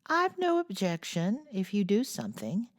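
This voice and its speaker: A woman's voice: mid-range voice, wildly swooping pitch, normal speed, pitched above the performer's own voice. Prim, grave teen girl, gracious but proper, read by a woman.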